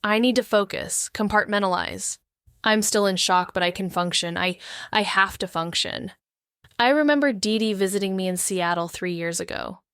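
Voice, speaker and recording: A woman's voice: mid-range voice, moderate pace, a bit bright, smooth, no room sound, clean studio sound, lightly acted. A woman playing a shaken, sardonic young woman in an intimate first-person audio drama monologue, wry, introspective and profane. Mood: distant, focusing. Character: shaken, wounded, sardonic young woman, med-school dropout.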